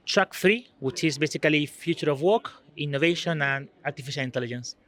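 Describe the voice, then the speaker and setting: Earnest man, fairly high voice. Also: fairly quick, fairly bright tone. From Spain. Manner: earnest, searching for words, non-native phrasing. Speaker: EU delegate guest. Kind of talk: conversational interview answers.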